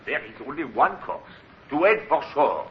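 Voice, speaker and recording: A man's voice: fairly high pitch, moderate pace, slight background noise. An actor playing an alarmed, protesting French seaman in a radio drama, agitated, with a French accent. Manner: agitated, animated. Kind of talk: old-time radio drama acting. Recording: echoey, dull old radio recording.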